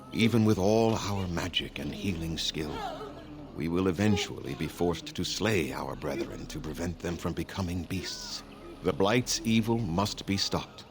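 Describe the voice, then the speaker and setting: An actor playing a weary, haughty elven clan leader in a fantasy video game, speaking formally and gravely in a measured way. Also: male voice, deep voice.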